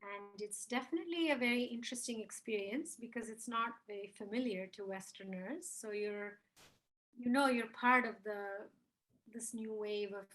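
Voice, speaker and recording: A woman's voice: mid-range voice, fairly bright tone, low-quality recording. A woman in her fifties from India, a spiritual mentor, talking as an interview guest in a reflective, earnest way. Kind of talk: interview conversation.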